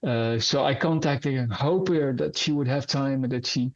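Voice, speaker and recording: A man's voice: mid-range voice, normal speed. Earnest male musician, dull lo-fi sound. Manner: earnest, animated storyteller. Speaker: musician guest. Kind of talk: casual interview answers. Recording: dull lo-fi audio.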